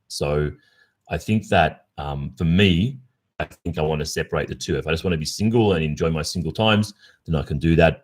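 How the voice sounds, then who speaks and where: An Australian man hosting a casual livestream Q&A, chatting informally and reflectively. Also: extremely low pitch. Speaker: ASMR roleplay creator and livestream host.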